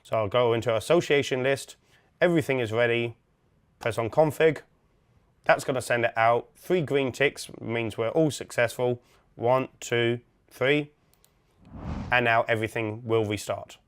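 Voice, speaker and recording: A man's voice: mid-range voice, unhurried, long pauses, smooth. A man giving an informal, step-by-step tutorial, explaining methodically as an instructor. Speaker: instructor, product demonstrator. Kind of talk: software setup tutorial, step-by-step walkthrough.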